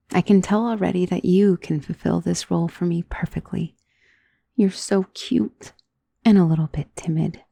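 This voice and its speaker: Husky ancient vampire lady acted by a woman, creaky, intimate, genteel, doting, possessive, ASMR roleplay. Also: lowish voice, heavily acted. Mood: fond, doting. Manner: soft, intimate, genteel, unhurried endearments, often dropping into whisper. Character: centuries-old female vampire, wealthy, doting, possessive, calmly commanding. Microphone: close microphone in a dry space.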